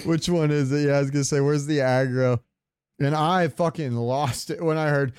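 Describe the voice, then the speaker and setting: A man's voice: a little high, fairly even intonation, normal speed. A man chatting casually and crudely as a podcast co-host, swearing freely. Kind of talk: casual banter about game sounds.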